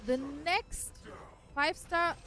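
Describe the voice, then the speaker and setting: A woman's voice: sits high, takes it slowly, bright, forceful tone, slight background noise. A young woman with a German accent, casually explaining a game as she streams, chatty with many fillers. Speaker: gaming streamer. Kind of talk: casual gameplay commentary. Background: faint game music.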